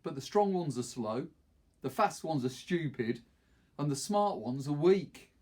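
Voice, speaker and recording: A man's voice: on the high side, animated, measured pace, darkish tone. A man voicing a cocky, scornful, stocky teenage boy in a storybook reading, boastful and slangy.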